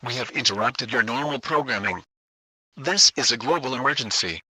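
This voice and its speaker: A man's voice: mid-range voice, moderate pace, bright, forceful tone, low-quality recording. A man delivering a formal, scripted emergency alert announcement.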